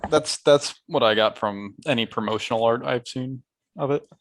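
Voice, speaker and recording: A man's voice: medium pitch, normal speed. A man chatting casually as a podcast co-host. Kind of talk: casual chat.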